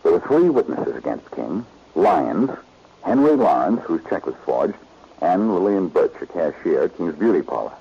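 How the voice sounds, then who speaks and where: An actor playing a confident, smooth-talking detective who questions pointedly, in a 1940s radio drama. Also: male voice, low voice, very animated, moderate pace, full character voice. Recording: dull, noisy old radio recording.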